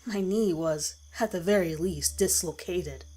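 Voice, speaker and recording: A woman's voice: lowish voice, a little slow, darkish tone, poor audio. A woman reading a first-person horror story as a dramatic scripted narration. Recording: music behind the voice. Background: music.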